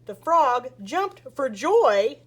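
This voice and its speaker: A woman storyteller reads a rhyming picture book to children. Her voice is high and highly animated, and she takes each phrase slowly and deliberately. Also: slightly rough. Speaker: library storyteller.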